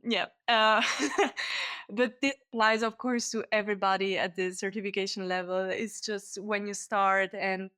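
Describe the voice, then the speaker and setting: A woman's voice: medium pitch, fairly even intonation, moderate pace, fairly bright tone, clean tone. Woman, laughing a lot. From Switzerland. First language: Italian. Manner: laughs often between sentences, cheerful. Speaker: guest, technical diver. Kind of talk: casual podcast interview.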